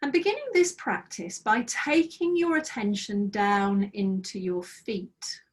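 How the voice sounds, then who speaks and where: A middle-aged woman leading a guided mindfulness practice over a video call, speaking slowly and soothingly with gentle pauses, her voice slightly croaky. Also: mid-range voice, far from the mic. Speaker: mindfulness trainer. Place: small office with bookshelves, indoors. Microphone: laptop webcam on a video call.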